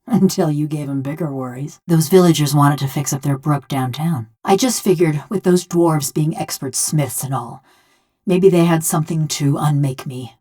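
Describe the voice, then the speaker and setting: A woman's voice: normal speed, mellow. An actress playing a rustic, sardonic, vengeful female killer trapped in a golem's body for a video game, with a wry, plain-spoken manner. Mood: guarded, wistful. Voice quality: low-pitched, folksy rural speech. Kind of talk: video game character dialogue.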